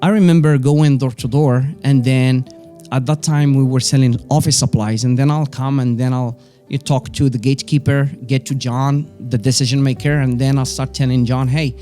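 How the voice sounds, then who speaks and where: Man in his forties, Moroccan accent, native Arabic and French, relaxed dark tone, casual storytelling, faint music. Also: medium pitch, normal speed, few pauses. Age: 40s. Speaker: interview guest, business owner.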